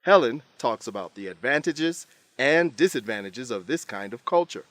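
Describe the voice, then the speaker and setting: A man narrating a scripted English lesson in a deliberate, instructive way. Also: medium pitch, animated, fairly bright tone, no echo.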